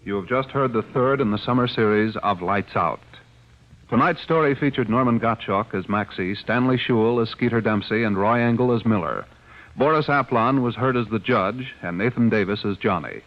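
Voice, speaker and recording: Casting: male American vintage radio announcer, lowish pitch, formal scripted read, slightly dull old recording. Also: moderate pace. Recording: muffled 1940s radio recording.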